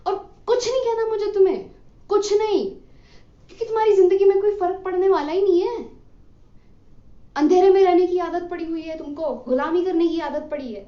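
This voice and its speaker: A woman's voice: very high voice, moderate pace. A woman acting a bitter, condemned male revolutionary in a theatrical monologue, scolding an apathetic crowd with impassioned scorn. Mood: contemptuous, accusing. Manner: scornful, impassioned, declamatory with sarcastic commands and rhetorical questions. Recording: muffled lo-fi echoey room recording.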